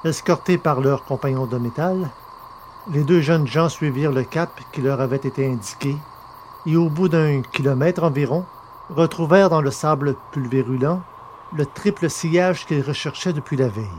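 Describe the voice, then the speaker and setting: A man hosting a podcast and narrating a science fiction audio novel, in a clear, even storyteller's reading. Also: on the high side, normal speed, a little hiss or hum.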